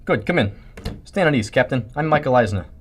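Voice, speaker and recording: Man playing a stern, mid-pitched executive. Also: expressive, fairly quick, slight background noise, natural voice. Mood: grave. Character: stern executive briefing a captain on a secret mission. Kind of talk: radio drama parody acting.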